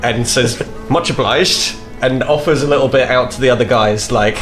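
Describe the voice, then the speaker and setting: A man's voice: medium pitch, moderate pace, fairly bright tone, faint music behind. Playful man playing a tabletop game. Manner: laughing, playful, narrates own character. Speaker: player. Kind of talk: casual tabletop roleplay.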